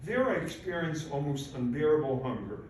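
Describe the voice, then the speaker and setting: A man's voice: fairly high pitch, sing-song, normal speed. Elderly man speaking in a very echoey room. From Poland. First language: Polish. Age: 80s. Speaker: invited speaker, Holocaust survivor. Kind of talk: prepared commemorative speech, personal testimony. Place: large echoing room at a public event. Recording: very echoey hall, far off, faint noise.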